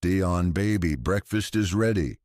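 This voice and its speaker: A man voicing an anxious, tender mother in an audiobook, with gentle, concerned questioning. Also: deep voice, unhurried, no room sound, clean studio sound.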